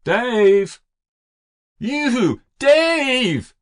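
A man voicing a stern, scolding, hardworking mother with a Southern US accent and a sharp, dismissive rural way of talking in a story reading. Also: medium pitch, sing-song, measured pace, no echo, clean studio sound, voice pushed up. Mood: calling angrily.

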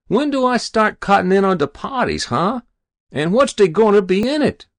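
A man's voice: high-pitched, sing-song, normal speed, no room sound, pitched above the performer's own voice. A man voicing a cocky, crude young American tough guy in a story reading, sneering and slangy. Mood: impatient, greedy. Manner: leering, sneering, slangy, insolent. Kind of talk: literary short story reading.

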